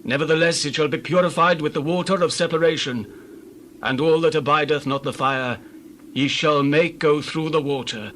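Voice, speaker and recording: Man as solemn, higher-voiced priest Eleazar. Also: moderate pace, a bit bright, a little hiss or hum, lightly acted. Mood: solemn instruction. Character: Eleazar, solemn male priest instructing soldiers. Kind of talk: dramatized scripture reading.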